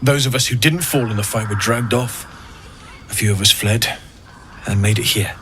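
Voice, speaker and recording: An actor playing a friendly, plain-spoken refugee blacksmith in a fantasy game, earnest and direct. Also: male voice, on the low side, moderate pace, bright, forceful tone, slight background noise. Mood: somber.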